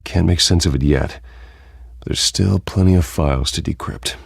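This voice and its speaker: A man voicing a brooding, controlled masked vigilante in a video game, terse and gravelly. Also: deep voice, normal speed, no echo, clean studio sound, full character voice. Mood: grim, focused. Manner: terse, clipped.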